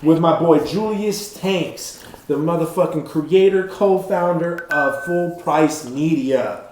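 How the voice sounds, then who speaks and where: A man hosting a casual podcast interview, greeting and reacting with lots of excitement. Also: fairly high pitch. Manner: hyped, exclamatory, quick reactions. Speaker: podcast host. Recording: echoey room with faint noise.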